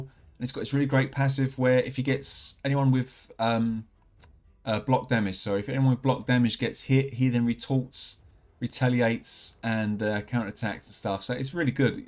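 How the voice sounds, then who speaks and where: A man, slightly croaky from a cold, chatting casually and rambling as he opens game packs for his channel. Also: medium pitch, a little mellow. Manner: chatty, upbeat, rambling with many fillers. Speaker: gaming channel host. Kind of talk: casual commentary while opening game packs.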